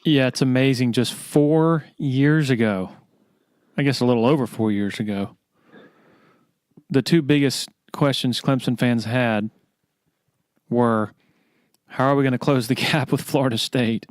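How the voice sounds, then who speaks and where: A man's voice: mid-range voice, unhurried, pauses often, dry recording. A man hosting a casual sports podcast, feeling his way through questions and rephrasing as he goes. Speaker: podcast host, interviewer.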